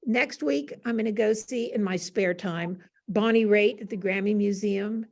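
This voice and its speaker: A woman's voice: medium pitch, moderate pace, a little mellow. An older woman speaking casually and playfully as she shares anecdotes on a panel, with a chatty, self-deprecating manner. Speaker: panel guest, university professor. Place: classroom. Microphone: video call.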